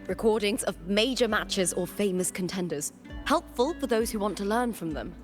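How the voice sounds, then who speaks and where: Woman acting a young female arena fighter, video game style, confident, friendly, earnest, middle pitch, light music underneath. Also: expressive, normal speed, lightly acted. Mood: earnest, reflective.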